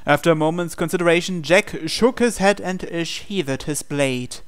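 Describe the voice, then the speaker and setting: A man's voice: a little high, normal speed, clean tone. A man reading a visual novel aloud in a dramatic storytelling narration style.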